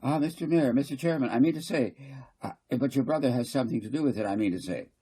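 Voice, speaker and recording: A man reading a story, voicing a flustered, argumentative town councillor who blusters haltingly and repeats himself. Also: medium pitch, dark tone, husky, pitched above the performer's own voice, lightly acted. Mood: flustered, accusing.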